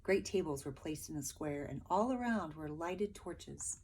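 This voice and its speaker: A woman's voice: low voice, lively intonation, measured pace, a little mellow, slight background noise. A woman teacher reading a picture book aloud expressively, with emphatic delivery and questions for her listeners.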